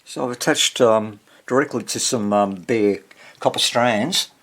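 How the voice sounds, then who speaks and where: A man giving casual hands-on repair narration, haltingly muttering to himself as he works and thinks aloud. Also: medium pitch, fairly bright tone. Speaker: hobbyist radio restorer, presenter.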